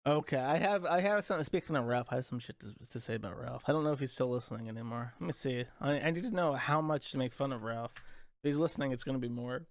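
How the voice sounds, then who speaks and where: A man's voice: mid-range voice, fast talker, dry recording, clean studio sound. A young man hosting a casual livestream, rambling, crude and sarcastic as he talks to chat. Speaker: livestream host.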